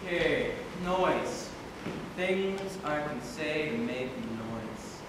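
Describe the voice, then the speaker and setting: A male actor plays an easygoing young friend in a stage drama. His voice is fairly high and very animated. It is recorded distantly in a reverberant, noisy room. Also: very slow. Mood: reassuring. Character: easygoing, caring young man keeping a friend company. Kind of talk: stage play dialogue. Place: stage in a hall, far camera. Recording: very echoey hall, distant mic, noisy.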